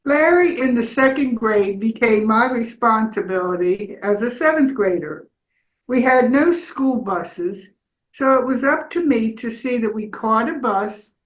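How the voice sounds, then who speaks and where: Slow-speaking woman in her eighties, echoey room. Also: on the high side. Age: 80s. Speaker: community storyteller reading a personal memoir. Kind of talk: scripted personal narration on stage. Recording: roomy, echoing live space.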